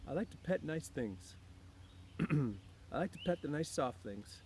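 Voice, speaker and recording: Simple-minded gentle giant for an audiobook, acted by a male reader: earnest, childish, rural phrasing, pitched up, noisy recording. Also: expressive, a little slow. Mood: earnest, insistent. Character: simple-minded, childlike big man, earnest and soft-hearted. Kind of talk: audiobook character voice.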